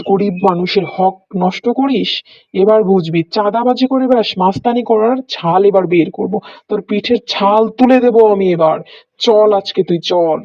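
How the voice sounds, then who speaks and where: A man's voice: high-pitched, vocal fry, no echo, distant mic. A man playing a stern, threatening village police officer in a cartoon, scolding in a menacing, melodramatic style. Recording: dull lo-fi cartoon audio.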